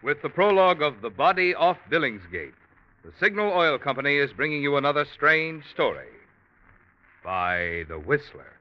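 Lively male announcer on muffled old radio. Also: fairly high pitch, normal speed, music in the background. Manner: polished, persuasive. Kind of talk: 1940s radio commercial announcing. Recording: dull vintage radio recording.

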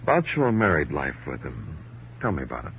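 An actor with an American accent playing a terse, brooding New York police detective in a 1950s radio noir, clipped and hard-boiled. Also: male voice, rumbling low, wildly swooping pitch, fairly quick, a little hiss or hum, exaggerated voice. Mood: probing, dry. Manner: clipped, hard-boiled, moody poetic narration. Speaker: lead actor and narrator. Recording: dull old radio recording.

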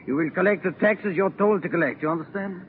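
An actor playing a condescending, coolly threatening Nazi occupation officer in a 1940s radio drama. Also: male voice, sits high, fast, natural voice. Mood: coolly menacing. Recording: tinny, noisy 1940s radio recording.

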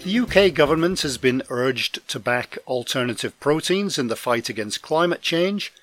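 A man hosting a podcast news roundup, his voice deepened by a head cold, reading from a script with dry, self-deprecating asides. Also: fairly bright tone, no echo. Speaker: podcast host and trade magazine editor. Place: home in Scotland.

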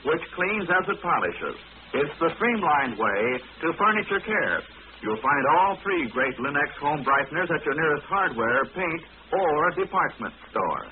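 A male announcer delivers scripted wartime-era radio advertising in a persuasive, polished style. His voice is a little high. The old recording is narrow in band and clearly noisy. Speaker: commercial announcer.

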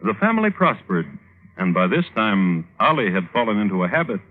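A man narrating a classic radio show with dry, wry understatement in a husky voice. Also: fairly low pitch, sing-song, fairly quick. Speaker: narrator, host. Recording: narrow-band old radio recording.

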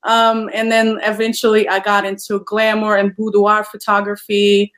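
A woman, chatting as an interview guest, full of "you know" fillers and quick to laugh. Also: medium pitch, monotone, a bit bright. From Poland. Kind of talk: casual interview chat.

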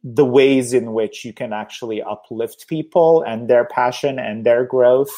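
A man's voice: medium pitch, a little slow, dark tone. Chatty reflective man from Turkey. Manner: chatty, reflective, many fillers. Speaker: theater producer. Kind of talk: casual conversation.

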